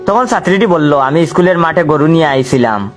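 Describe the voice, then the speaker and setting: A man's voice: fairly high pitch, animated, brisk. Man telling scripted jokes over music. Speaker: storyteller. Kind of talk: scripted comic joke narration.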